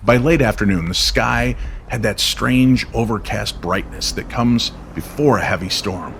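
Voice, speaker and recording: A man's voice: fairly low pitch, a little slow, vocal fry, a bit bright, slight background noise. A man reading dramatic scripted narration for a story.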